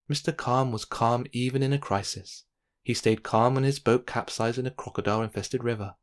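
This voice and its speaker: Man reading a children's storybook aloud. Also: medium pitch. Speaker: storybook narrator. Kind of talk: children's read-aloud narration.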